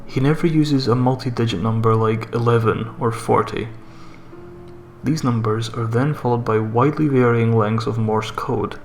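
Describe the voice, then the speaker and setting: A man narrating a scripted horror story in a measured, deliberate way, as a creepypasta narrator. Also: mid-range voice, a little mellow. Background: background music.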